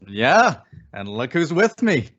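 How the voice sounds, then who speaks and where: A man's voice: on the high side, big pitch swings, slow, a bit bright, muffled recording, full character voice. A man acting as an easygoing, folksy old man in an old-time radio suspense drama. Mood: knowing.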